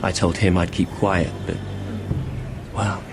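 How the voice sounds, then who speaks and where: Earnest man, slow and uneasy. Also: low voice, level tone, music in the background. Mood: uneasy, confessing. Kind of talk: video game dramatic acting.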